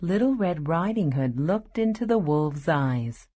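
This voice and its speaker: Woman, storybook narrator, lowish voice, very animated intonation, children's fairy tale, faint music. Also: a little slow, slightly rough.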